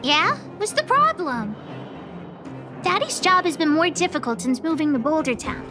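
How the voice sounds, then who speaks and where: A woman voicing a bossy, excitable little girl who leads a gang of kids, self-important and cheeky, in a video game. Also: high voice, moderate pace. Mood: scheming, indignant. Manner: self-important, cheeky, refers to herself by name. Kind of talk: video game character voice acting. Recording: music under the voice. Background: background music.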